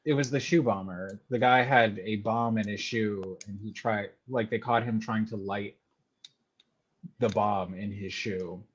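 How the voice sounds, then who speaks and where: A man's voice: lowish voice, measured pace, highs cut off. A man chatting casually in an informal, rambling, sweary way. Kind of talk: casual chat.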